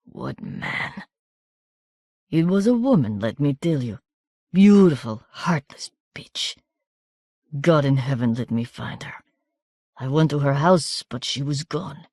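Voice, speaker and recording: A badly wounded gentleman gasps out his words in broken, breathless bursts, some sinking to a whisper, as a woman reads him in a storybook. The voice strains with pain and confusion. It is close and dry, with no room around it. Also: a bass voice, expressive, no room sound, exaggerated voice. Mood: pained, delirious. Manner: pained, breathless, halting, partly whispered. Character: wounded bewildered old-fashioned gentleman, delirious with pain.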